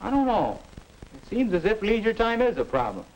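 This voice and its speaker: A man's voice: high-pitched, big pitch swings, a little fast. A man playing a restless, doubting American teenage boy in a 1950 educational film, earnest and stagey, on a noisy old soundtrack. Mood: puzzled, moping.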